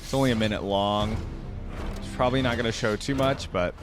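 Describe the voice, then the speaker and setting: A man's voice: on the low side, fairly quick, music in the background. A man giving casual reaction commentary as the host of a video.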